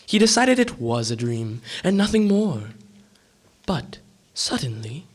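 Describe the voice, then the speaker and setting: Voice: medium pitch, big pitch swings, measured pace. A narrator telling a scripted story in a radio drama, with dramatic storytelling delivery. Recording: music behind the voice.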